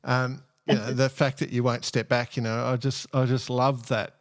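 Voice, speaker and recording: A man's voice: mid-range voice, flat delivery, normal speed. A man hosting a sailing podcast, asking questions in a cheeky, joking and enthusiastic way. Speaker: podcast host. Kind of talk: casual podcast interview.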